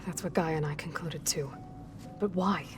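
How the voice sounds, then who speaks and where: A woman's voice: low voice, moderate pace, music in the background, close to an everyday voice. A woman acting a determined young warrior heroine in a video game, direct, practical and plain-spoken in a naturalistic style. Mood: probing, serious.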